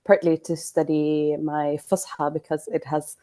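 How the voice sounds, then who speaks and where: Low, mellow-voiced woman journalist. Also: little pitch movement. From Iraq, grew up in Sweden. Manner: measured, thoughtful, with frequent ums. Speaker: journalist guest. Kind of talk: casual podcast chat.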